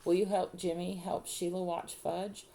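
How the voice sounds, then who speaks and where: A woman's voice: fairly low pitch, level tone, measured pace, vocal fry, dark tone, a little hiss or hum, poor audio. A woman voicing a doting, fretful mother in a story reading, coaxing and motherly.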